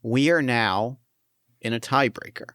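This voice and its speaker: A man runs a tabletop game as game master, narrating in a loose, rambling way with lots of fillers. His voice is smooth and resonant. The recording is dry and close. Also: mid-range voice, unhurried, a bit bright, no echo.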